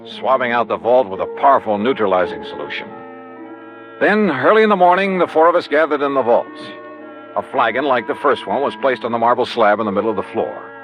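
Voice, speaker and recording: A man's voice: mid-range voice, close to an everyday voice. An actor playing a tough, wry insurance investigator, narrating his case in clipped, dramatic old-time radio style. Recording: dull vintage radio recording.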